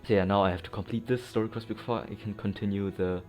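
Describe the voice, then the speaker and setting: Very deep-voiced rambling gamer man. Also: steady tone, quick pace, darkish tone, soft background music. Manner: rambling, forgetful, sighing. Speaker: gameplay streamer. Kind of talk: casual gameplay commentary.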